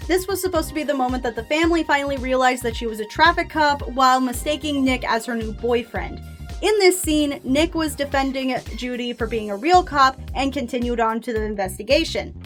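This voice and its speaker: A woman's voice: sits high, a bit bright. A woman giving opinionated fan commentary in a conversational, self-questioning way, as the host of a video essay. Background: background music.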